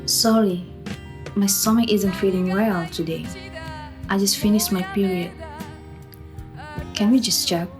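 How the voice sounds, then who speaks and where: A woman's voice: mid-range voice, fairly even intonation, a little slow, long pauses, close to an everyday voice. A woman playing a young Javanese captive, wary but resolute, in a radio drama with music behind her. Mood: subdued, wary.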